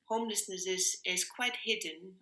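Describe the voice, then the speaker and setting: Bright-voiced woman in a roomy space. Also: medium pitch, moderate pace. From Iceland. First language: Icelandic. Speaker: interviewed music workshop leader. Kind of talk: reflective conversational interview. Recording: roomy, echoing sound.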